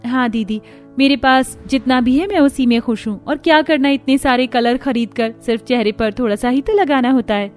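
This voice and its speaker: A woman's voice: high-pitched, normal speed, faint music behind, close to an everyday voice. A woman voicing a sweet, contented young bride from a poor household in a cartoon story, mild and modest. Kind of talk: Hindi cartoon story dialogue.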